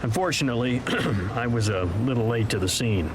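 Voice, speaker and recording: A man's voice: medium pitch, lively intonation, moderate pace, noisy background, close to an everyday voice. An actor voicing a vain, boastful male superhero who gives self-promoting tours in a video game. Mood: smug showmanship. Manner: showy, cocky, smugly self-promoting. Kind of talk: promotional tour-guide narration for a video game.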